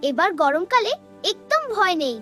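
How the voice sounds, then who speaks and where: A woman voicing a small, timid, excitable ghost in a cartoon. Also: extremely high pitch, moderate pace, faint music behind, exaggerated voice. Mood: excited.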